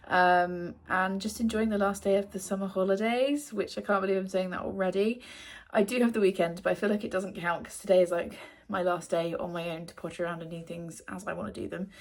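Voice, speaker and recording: A woman in her thirties, chatting casually in a home book vlog, a little breathless and wheezy from a cough. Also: fairly low pitch. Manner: chatty, rambling, with frequent ums. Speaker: book vlogger.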